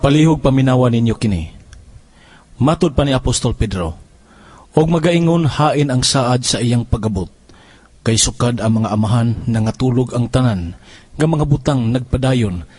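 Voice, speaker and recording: A man's voice: mid-range voice, expressive, normal speed, darkish tone, slightly rough. A man reading a Bible passage aloud in a solemn, scripted devotional style. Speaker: scripture reader.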